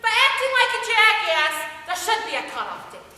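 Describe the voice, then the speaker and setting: Actress as a disapproving older sister, far-off echoey stage. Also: female voice, high-pitched, sing-song, a little fast, bright, forceful tone, heavily acted. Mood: reproachful. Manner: reproachful, questioning, exasperated. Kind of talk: live stage play dialogue. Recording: distant echoey hall, noisy stage recording.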